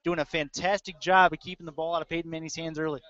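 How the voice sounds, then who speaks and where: Bright-voiced man calling football. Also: fairly high pitch, flat delivery, fast. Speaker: play-by-play sports commentator. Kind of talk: live American football commentary. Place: indoor audio-only webcast. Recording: dull lo-fi audio.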